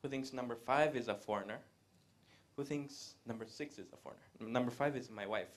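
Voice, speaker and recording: A man, giving a live presentation to an audience in a conversational way, pausing often to hesitate. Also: mid-range voice. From Latin America. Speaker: guest speaker. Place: event room with a live audience.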